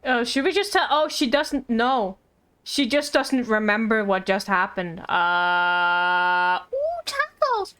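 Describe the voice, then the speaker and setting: A woman's voice: fairly high pitch, a little slow, fairly bright tone. A woman streamer reacting casually to a game, rambling and teasing, often repeating her words. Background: game music.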